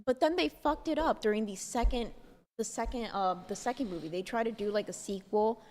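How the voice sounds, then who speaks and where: A woman's voice: on the high side, brisk, darkish tone. A woman hosting a casual talk show, rambling along with many "like"s and "um"s. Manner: rambling, filler-heavy, lots of "like" and "um". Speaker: podcast host. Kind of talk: casual chat. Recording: long hall reverb.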